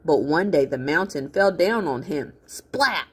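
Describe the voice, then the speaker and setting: A woman's voice: low-pitched, expressive, unhurried, breathy edge, heavily acted. Female reader as young boy, storybook, eager, boastful, emphatic, swinging intonation. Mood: mischievous. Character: earnest, boastful young boy dictating messages to his friend.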